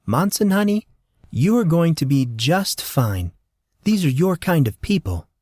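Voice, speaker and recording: Audiobook role: cheery, doting motherly woman, sweetly coaxing, pitched high, dry close sound male narrator performing. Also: sing-song, unhurried, darkish tone, dry recording. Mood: excited, reassuring. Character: chubby, cheery, doting middle-aged woman driving a car.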